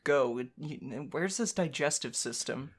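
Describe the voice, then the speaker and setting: A man's voice: mid-range voice, animated, a little slow. A man co-hosting a game playthrough with playful, quipping commentary. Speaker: gaming co-host.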